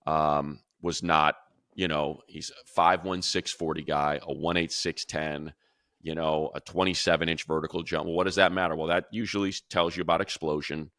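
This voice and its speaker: A middle-aged man, a radio play-by-play announcer, breaking down players in an animated, excitable casual sports chat. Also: very deep voice, no room sound, studio quality. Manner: animated, excitable, rapid runs of analysis.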